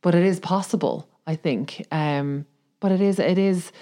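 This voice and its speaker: A woman's voice: fairly low pitch, moderate pace, mellow. A woman co-hosting a podcast in casual conversation, informal and questioning in her way of talking. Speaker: podcast co-host.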